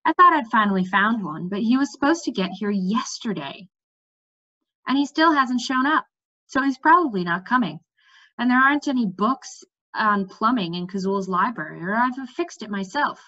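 Outspoken storybook princess, read by a woman, practical, emphatic, bright, slightly dull sound. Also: a little high, expressive, moderate pace. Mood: irritated. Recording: slightly dull webcam audio.

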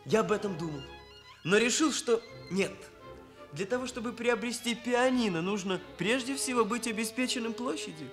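An actor playing an earnest, proper young hairdresser in a radio play, with precise, bookish phrasing. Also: male voice, very high voice, expressive, normal speed, fairly bright tone, full character voice. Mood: matter-of-fact. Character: earnest, self-improving young male hairdresser, proper and stiff. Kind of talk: Soviet radio play acting. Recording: radio play, violin music behind.

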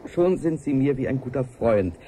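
An actor playing an earnest, lonely scientist who confides in a formal, measured way in a radio-play audio letter. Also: male voice, mid-range voice, animated, background noise, close to an everyday voice. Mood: earnest, confiding. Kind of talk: 1980s German radio play monologue.

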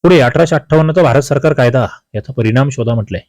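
A man's voice: medium pitch, fast talker, darkish tone, no echo. Man teaching, close dry sound. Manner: didactic, poses questions then answers them. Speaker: exam coaching teacher. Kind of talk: exam question walkthrough, explaining answers.

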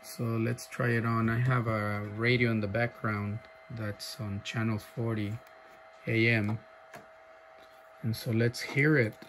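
Slow, halting man demoing a radio. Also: lowish voice, flat delivery, a little hiss or hum. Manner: halting, many fillers, loud clipped mic tests. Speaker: seller demonstrating a radio. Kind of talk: casual off-the-cuff product demo. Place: indoor workshop bench.

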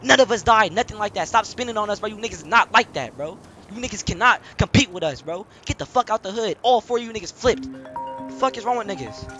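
A young man live-streaming a role-play game, talking in excited, boastful, repetitive street slang with lots of swearing. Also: sits high, quick pace, faint music behind.

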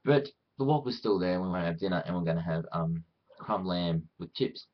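Very deep Australian man, casual vlog. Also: lively intonation, fairly quick, lo-fi sound. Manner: rambling, self-deprecating, often acting out imagined quotes. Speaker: vlogger. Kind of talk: casual chat to camera.

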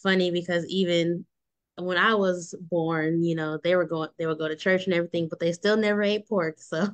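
A woman's voice: fairly low pitch, fairly even intonation, a little fast, no echo. Young woman on a video call. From Houston, Texas. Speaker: guest. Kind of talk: casual video call chat. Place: indoor room. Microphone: video call, computer mic.

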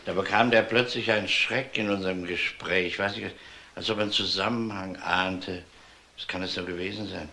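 Blunt, low-voiced police detective. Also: male voice, fairly even intonation, fairly quick, bright, forceful tone, slight background noise. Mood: probing. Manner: probing, matter-of-fact. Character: male police detective, probing and blunt interrogator. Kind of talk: German TV-crime style radio drama acting.

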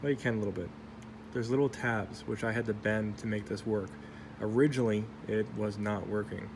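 A man casually reviewing his welding helmet, talking in a halting, rambling way with self-corrections and small jokes, recorded on a handheld phone in a workshop. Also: lowish voice, fairly even intonation, noisy background. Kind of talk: casual off-the-cuff product review. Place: workshop, indoors. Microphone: phone camera held in hand.

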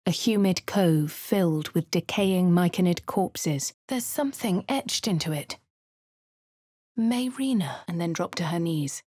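A woman with a posh English accent speaking a game hero's lines and first-person narration in a measured way. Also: fairly low pitch, lively intonation, darkish tone, breathy edge, dry recording, studio quality. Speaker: player character voice. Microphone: studio mic.

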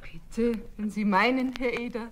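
A friendly lady customer played by an actress in a children's radio play. Her voice is fairly high, and she talks in a polite, chatty, faintly puzzled way. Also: fairly even intonation, measured pace, natural voice. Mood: amused.

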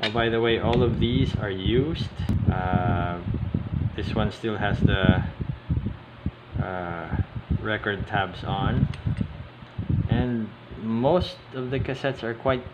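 A man with a slightly low voice talks very slowly through an informal, unscripted hands-on demonstration. He is hesitant, often stopping mid-sentence before carrying on. The recording has loud, steady noise and clicks behind him. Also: muffled recording. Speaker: hobbyist video host. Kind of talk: casual unscripted show-and-tell commentary. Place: indoors at a tabletop. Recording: steady loud air-conditioning hiss, handling clicks. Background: loud background noise, clicks.

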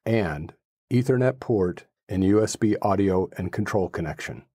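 A middle-aged man presenting a how-to in a measured, matter-of-fact manner. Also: deep voice, expressive, dark tone, slightly rough, dry recording, clean studio sound. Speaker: host presenting a radio installation.

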